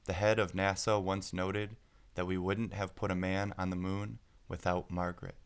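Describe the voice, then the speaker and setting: A man with a deep voice reads a children's picture book aloud. There is plenty of vocal fry, and the scripted narration is clearly phrased with deliberate pauses. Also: flat delivery.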